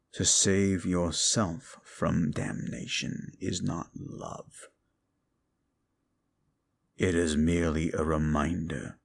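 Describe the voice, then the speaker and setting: A man voicing a bitter, ancient fallen demon who speaks scornfully to a listener in an audio drama, dropping to hushes and rising to shouts. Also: low-pitched, vocal fry, husky, heavily acted. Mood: somber. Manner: contemptuous, swings from hushed to shouting.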